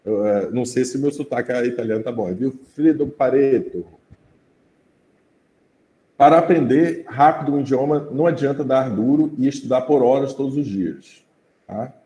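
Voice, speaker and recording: A Brazilian man gives a relaxed, chatty lecture, checking in with his students as he goes. His voice is mellow and sits at a middling pitch. The sound has a roomy echo. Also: moderate pace. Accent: Brazilian Portuguese. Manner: conversational, checks in with the class with tag questions. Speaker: teacher. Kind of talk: informal class lecture.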